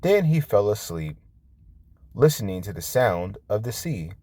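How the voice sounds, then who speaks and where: A man reading a children's story aloud with an expressive, drawn-out delivery. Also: fairly low pitch, no room sound. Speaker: storybook reader, host.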